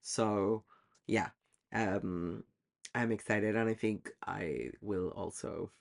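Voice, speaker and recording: A man's voice: fairly low pitch, slow, creaky. A man talking casually to camera about his reading goals, chatty and self-deprecating, with frequent ums. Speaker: book vlogger.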